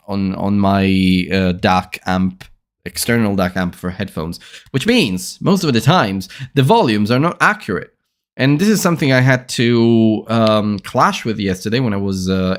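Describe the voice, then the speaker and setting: A man who hosts a podcast, thinking aloud in a casual, halting way as he edits, often trailing off into quiet mutters. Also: fairly low pitch. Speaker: podcast host editing his episode.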